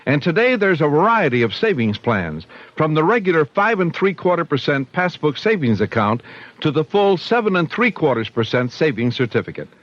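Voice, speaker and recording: A man's voice: a little high, big pitch swings, normal speed. A man delivering a scripted radio advertisement as a commercial announcer. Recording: dull 1970s radio recording.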